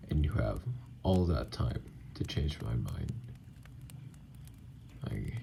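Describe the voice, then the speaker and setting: A man playing a wounded, feisty, mistrustful vampire in an intimate, halting ASMR roleplay. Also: noisy background, full character voice. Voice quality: gravelly, breathy, very low.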